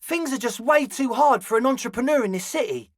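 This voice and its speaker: A man's voice: extremely high pitch, animated, no echo, studio quality. A male actor voicing a young, slangy club hustler in a video game, conciliatory and laid-back with a pleading manner. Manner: slangy, placating, drawn-out pleading. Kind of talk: video game character dialogue.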